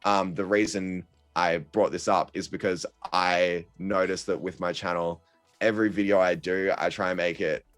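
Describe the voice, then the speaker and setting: A man's voice: sits low, fairly even intonation, normal speed, fairly bright tone, faint music behind. A young man talking casually as a podcast guest, hesitant, with many ums and restarts. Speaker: guest content creator.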